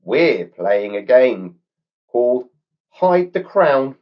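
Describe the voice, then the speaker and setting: A man voicing a fussy, whiny male snake in a children's story, hissing and pleading in a high voice. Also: sing-song, slow pace, highs cut off. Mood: chatty.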